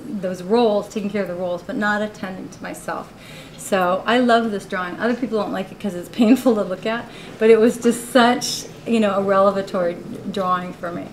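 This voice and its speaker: A woman in her forties giving a gallery talk about her own artworks, candid and quick to laugh, with many "you know"s. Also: medium pitch. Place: college art gallery. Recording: roomy live recording with faint noise.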